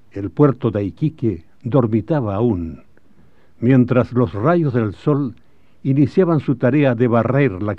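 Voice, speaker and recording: A man with a Chilean accent narrates a historical radio drama in a formal, measured storytelling manner. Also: mid-range voice, dry recording. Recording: dull old radio drama recording.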